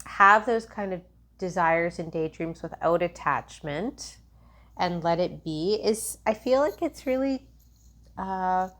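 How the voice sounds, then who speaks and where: A woman's voice: fairly low pitch, a bit bright. Rambling woman reading tarot cards. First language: English. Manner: meandering, many fillers and restarts. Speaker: tarot reader. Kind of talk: casual spiritual tarot reading.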